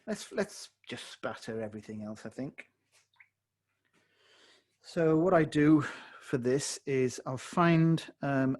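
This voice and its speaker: A man with a slightly hoarse voice, teaching watercolor live on a video call and thinking aloud as he paints. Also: medium pitch. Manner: relaxed, thinking aloud while painting, self-correcting. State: slightly hoarse, losing his voice. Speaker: watercolor tutor. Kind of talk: live online painting tutorial.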